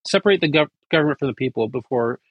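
A man, raised speaking Persian at home, talking in an animated way with quick bursts and restarts as an interview guest. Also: mid-range voice, dry recording, phone-quality sound. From United States. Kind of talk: casual interview.

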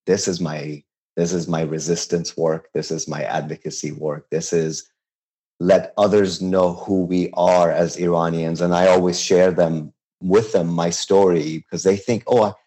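Man, from Iran, Farsi native, middle-aged, deep, halting, earnest interview talk. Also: fairly even intonation, dark tone. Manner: earnest, halting with many restarts. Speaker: guest, cooking teacher. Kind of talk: conversational interview.

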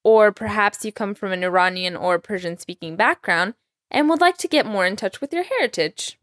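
Lively young woman teaching a language. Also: mid-range voice, normal speed, no room sound. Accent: American English, Texas. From Iran. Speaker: language teacher, podcast host. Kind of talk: scripted podcast lesson.

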